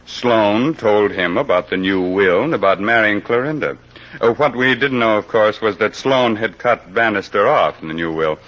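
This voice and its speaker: An actor playing a brisk, wry American police captain in 1940s radio drama style, clipped and commanding, on a muffled old recording. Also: male voice, lowish voice, fairly bright tone, natural voice. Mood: matter-of-fact.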